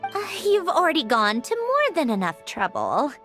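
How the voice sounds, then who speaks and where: Lively, determined young woman. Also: high-pitched, normal speed, soft background music, exaggerated voice. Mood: focused. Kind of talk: video game voice acting.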